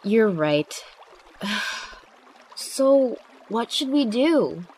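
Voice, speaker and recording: Casting: actress as studious, sensible young heroine, unhurried delivery, fan radio drama with slight noise. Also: female voice, a little high, very animated, a little mellow, exaggerated voice. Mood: calm, serious. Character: Zephyr, level-headed studious young female friend. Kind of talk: amateur radio drama acting.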